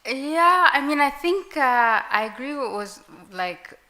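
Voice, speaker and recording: A woman whose first language is Arabic, speaking thoughtfully on a live panel with many pauses and restarts. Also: high-pitched, animated, sharp tone. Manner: reflective, hesitant, many fillers and restarts. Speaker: panelist author. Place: literary event with audience.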